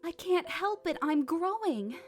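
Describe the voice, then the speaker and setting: A woman's voice: very high voice, expressive, measured pace, music in the background. A woman playing a spirited, indignant young girl in an audio drama.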